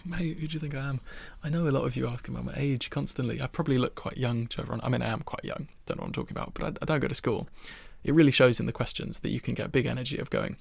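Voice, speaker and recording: A soft-spoken young man of twenty-one chatting ASMR-style from his bedroom, rambling and poking fun at himself. His voice is heavy with fry and breathy, sitting mid-range for a man. It is recorded close and bone dry. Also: mid-range voice, fairly even intonation, racing, few pauses, no room sound. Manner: rambling, self-deprecating, with soft laughs. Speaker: ASMR creator.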